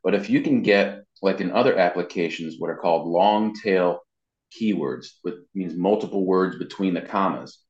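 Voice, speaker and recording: Male interview guest, low voice, casual and explanatory, halting with repeated words, recorded in an echoey room. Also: darkish tone. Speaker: interview guest, web marketing consultant. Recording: roomy echo, dull muffled sound.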